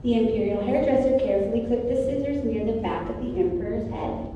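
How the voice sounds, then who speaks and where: A woman's voice: on the high side, a little fast. A woman reading scripted storybook narration aloud as the narrator of a readers' theater play. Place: large echoey room, distant microphone. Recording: muffled, echoey hall from far off, noisy.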